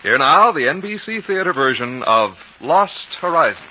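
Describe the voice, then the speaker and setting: A man's voice: fairly high pitch, big pitch swings, normal speed, slight background noise. A man with an American accent announcing formally and in stately style on a 1950s radio broadcast. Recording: dull vintage broadcast, loud music behind.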